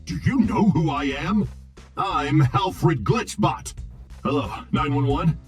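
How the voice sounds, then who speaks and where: An actor playing an arrogant, impatient robot Hollywood director in a video game, with a pompous, exasperated, theatrical delivery. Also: male voice, a little high, animated, slow pace, faint music behind. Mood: self-important. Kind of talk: video game character acting.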